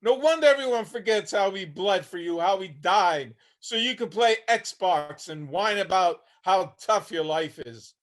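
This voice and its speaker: A man's voice: high voice, expressive, measured pace, few pauses, a bit bright. A man acting a haunted, homeless older Vietnam veteran, weary and bitter, telling his story plainly in a staged play reading. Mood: bitter, scolding. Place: online video call reading.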